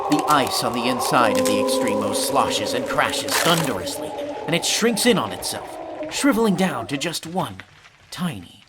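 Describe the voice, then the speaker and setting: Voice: mid-range voice, fairly bright tone, a little hiss or hum. A narrator delivering dramatic scripted narration in a vivid, theatrical manner for an audio drama. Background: music.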